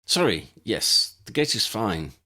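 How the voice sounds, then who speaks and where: A man's voice: fairly low pitch, big pitch swings, a little slow, bright, forceful tone, exaggerated voice. Man as a proud, sardonic young wizard. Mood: wary.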